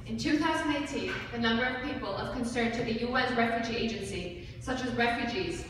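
A woman's voice: on the high side, a little fast. A young woman from Iceland giving a formal, rehearsed student presentation, recorded from far off in a large hall. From Iceland, originally Kurdistan. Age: young adult. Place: large hall with seated audience. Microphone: far audience camera. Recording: echoey hall from far off, room noise.

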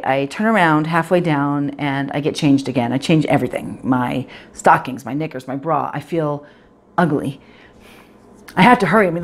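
Actress as fretful, self-critical woman of twenty-eight, low register, confessional stage monologue, direct address. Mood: anxious, self-loathing. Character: anxious, self-critical 28-year-old professional woman, obsessive about appearance.

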